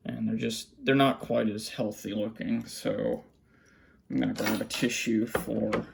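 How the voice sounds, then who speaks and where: Creaky-voiced man chatting as he works. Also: on the low side, little pitch movement, a little slow. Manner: muttering to himself as he works. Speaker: hobbyist vlogger showing a radio collection. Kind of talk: casual unscripted hands-on commentary. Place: small indoor room at a cluttered desk.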